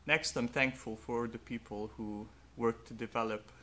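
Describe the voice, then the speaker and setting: A young man, a native Hungarian speaker, speaking slowly and earnestly in a reflective personal monologue with faint background noise. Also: medium pitch, steady tone, sharp tone. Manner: slow, thoughtful, earnest. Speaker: vlogger.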